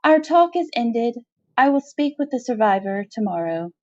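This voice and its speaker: A woman's voice: fairly high pitch, sing-song, normal speed, darkish tone, dry recording, highs cut off, voice pushed up. A woman voicing a giant female arachnid matriarch in a storybook reading, imperious and calculating, with formal, stilted, commanding phrasing. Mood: deliberating, regal.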